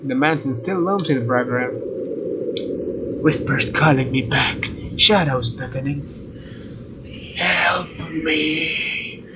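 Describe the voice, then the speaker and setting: A man's voice: fairly high pitch, slow pace, pauses often, noisy background. A man hosting a let's play, reading a visual novel's story narration aloud. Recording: dull, lo-fi microphone sound. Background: guitar game music.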